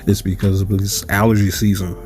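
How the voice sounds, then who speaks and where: A man's voice: deep voice, level tone, brisk, darkish tone, faint music behind. A man hosting his channel in a rambling monologue, his voice stuffy from allergies, asking rhetorical questions and correcting himself. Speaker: channel host. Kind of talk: casual monologue to camera.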